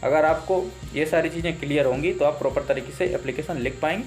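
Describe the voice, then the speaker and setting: Man, Indian accent, native Hindi speaker, slightly high voice, instructive teacher, step-by-step explaining, music behind. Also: darkish tone. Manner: instructive, repeats points, slow deliberate read-outs. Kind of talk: exam lesson, explaining step by step.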